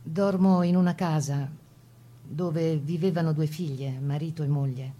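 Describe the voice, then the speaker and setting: A woman of the Resistance telling her own story. Her voice sits fairly low, and she speaks in plain, measured phrases, as in a radio testimony. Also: steady tone, mellow. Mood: grave, recollecting. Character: Italian woman partisan recounting wartime escape and sabotage. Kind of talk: dramatised testimony monologue for radio.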